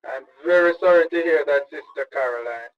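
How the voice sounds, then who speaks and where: A man playing a polite, soft-spoken village pastor in a radio drama. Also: on the high side, brisk, lo-fi sound, natural voice. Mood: courteous. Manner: gentle and courteous.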